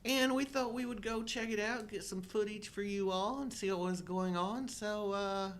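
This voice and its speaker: A man's voice: high-pitched, normal speed, fairly bright tone. A middle-aged man co-hosting a live show in casual conversation about films. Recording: faint background noise.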